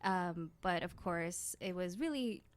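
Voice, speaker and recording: A woman's voice: fairly low pitch, lively intonation, slow pace. A woman talking casually as a guest in an interview. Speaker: guest, radio drama writer and producer.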